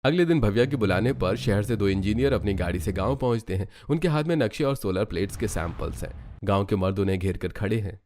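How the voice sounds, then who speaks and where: Low-voiced man narrating a cartoon story. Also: fast talker, darkish tone, a little hiss or hum.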